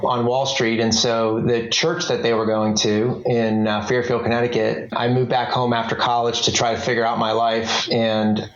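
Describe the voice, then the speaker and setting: A man in his fifties from Massachusetts, a podcast guest telling relaxed, casual stories about his life. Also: medium pitch, normal speed, few pauses, roomy sound, highs cut off. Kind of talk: casual interview chat.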